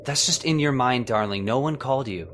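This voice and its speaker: A man's voice: medium pitch, a little fast, a bit bright, slight background noise, lightly acted. A man playing a worried, skeptical, protective husband in an old-time radio horror drama, fretful and dramatic. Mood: concerned. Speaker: voice actor.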